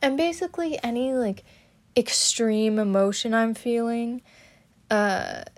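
A young woman talking about her own experience, hesitant and halting, with many fillers and restarts. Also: a little high, wildly swooping pitch. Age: young adult. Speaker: student podcaster. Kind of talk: personal talk about her stutter.

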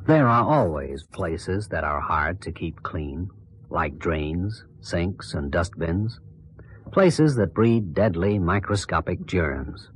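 A man reading a 1960s radio commercial in a deliberate, emphatic way. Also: low-pitched, animated, slightly rough, dry recording. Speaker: advert announcer.

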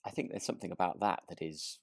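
A man's voice: sits low, fast talker, creaky, no echo, studio quality. A British man co-hosting a casual discussion of a radio drama, hesitant and often rephrasing himself as he goes. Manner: hesitant, circling phrasing with self-interruptions. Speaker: podcast co-host.

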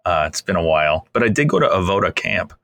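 A man's voice: sits low, very animated, fast, vocal fry, a bit bright, dry recording. A man, a chef and restaurant owner, chatting as a podcast guest in a rambling, easygoing, self-deprecating way. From Massachusetts, US. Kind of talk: casual interview storytelling.

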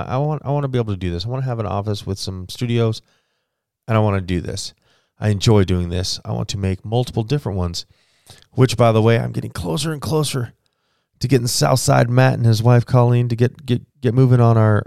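Low-voiced man rambling on his podcast. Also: vocal fry, a little mellow, clean tone, no echo, clean studio sound. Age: 40s. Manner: rambling, chatty, with frequent restarts and fillers. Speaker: podcast host. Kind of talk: solo rambling podcast monologue.

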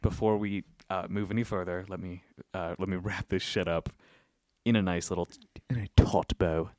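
A man's voice: deep voice, steady tone, normal speed, darkish tone, dry recording, studio quality. A man hosting a casual podcast, crude and rambling, sniffly from allergies. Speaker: podcast host.

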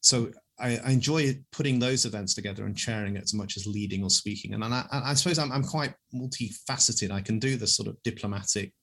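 A man in his fifties, an animal advocacy campaigner, answering interview questions with a loud voice that carries, speaking quickly off the cuff. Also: lowish voice, breathy edge.

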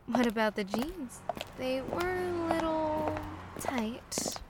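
An actress playing a young, affectionate mermaid passing as human in an audio drama, with a soft, coy way of talking. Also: female voice, high-pitched, animated, slow pace. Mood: tender, coy. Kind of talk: naturalistic audio drama dialogue. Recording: clear background noise.

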